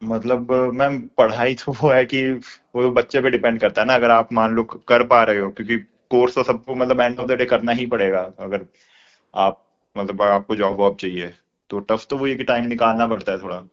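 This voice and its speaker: A man's voice: medium pitch, steady tone, moderate pace. Young man on dull phone recording. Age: young adult. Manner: colloquial, explanatory, with repeated filler words and echo words. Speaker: course student being interviewed. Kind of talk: casual phone conversation. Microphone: phone call.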